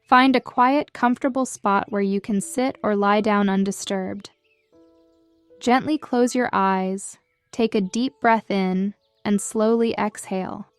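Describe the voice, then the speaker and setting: A woman narrating a scripted guided meditation in a soothing, measured and gentle manner. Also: mid-range voice, steady tone, clear, resonant tone, dry recording. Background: background music.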